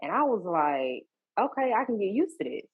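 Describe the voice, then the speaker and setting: A woman, a guest on a podcast, talking in a relaxed, casual way with repeats and restarts. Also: medium pitch, moderate pace, slightly rough, dry recording, narrow, tinny audio. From Georgia, US. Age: 30s. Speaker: guest, fitness coach.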